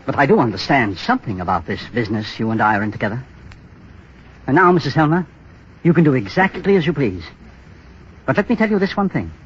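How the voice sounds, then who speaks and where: An actor playing a desperate, menacing moneylender in a 1930s radio drama, insistent and coldly insinuating. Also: male voice, medium pitch, sing-song, quick pace, long pauses, natural voice. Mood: threatening. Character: desperate, menacing bank clerk and blackmailing moneylender. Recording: muffled, scratchy 1930s radio broadcast.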